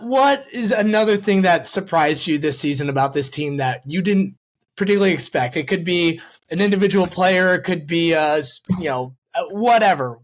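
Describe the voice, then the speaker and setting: A man hosting a casual sports interview, informal and emphatic, repeating phrases for effect. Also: high voice. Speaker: interview host.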